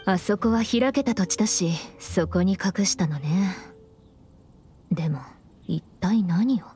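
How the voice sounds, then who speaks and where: A woman voicing a cool, aloof young nun in an anime game, speaking in a mature, detached and measured way. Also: medium pitch, dark tone, faint music behind, natural voice. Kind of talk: Japanese anime game voice acting.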